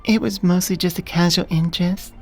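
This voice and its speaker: A woman playing a smitten, businesslike elf manager in a soft-spoken ASMR roleplay, earnest and deliberate. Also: on the low side, fairly even intonation, faint music behind, lightly acted. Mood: composed, forward. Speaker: voice actor.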